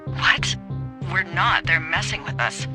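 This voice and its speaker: A woman's voice: deep voice, wildly swooping pitch, slow, over music, heavily acted. A woman playing a brash, fiery fire lookout in a video game, blunt and emphatic in natural conversational dialogue. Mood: alarmed. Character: brash, foul-mouthed, fiery adult woman on lookout radio.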